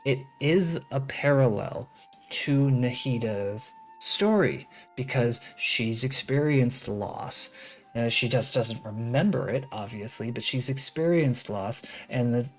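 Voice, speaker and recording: A video game streamer giving casual live commentary, rambling and thinking out loud, with opinionated, sarcastic asides. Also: mid-range voice, animated, slow. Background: game music.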